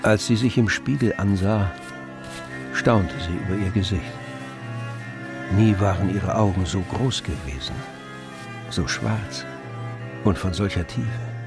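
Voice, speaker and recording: A man narrating a literary radio play in measured, scripted storytelling. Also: low voice, creaky, music in the background.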